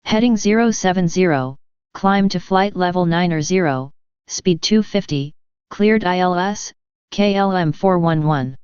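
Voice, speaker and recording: Crystal-clear woman on dull aviation radio. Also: on the low side, unhurried, darkish tone, dry recording. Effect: radio transmission. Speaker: pilot or controller on air traffic radio. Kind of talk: clipped aviation radio phraseology. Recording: dull, band-limited air traffic radio.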